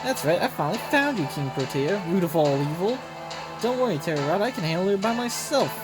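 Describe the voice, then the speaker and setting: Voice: mid-range voice, very animated, fast talker, natural voice. A game streamer voicing an eager, boastful, naive young girl fighter in game dialogue, over music. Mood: heroic confidence. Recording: music behind the voice.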